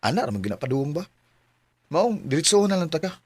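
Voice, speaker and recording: An actor playing an eager, devoted young man in a Filipino radio drama, earnest and a little hesitant, with a slightly husky voice. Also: on the high side, big pitch swings, a little fast, dry recording. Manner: earnest, hesitant, then direct. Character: eager young male suitor, devoted son to his mother.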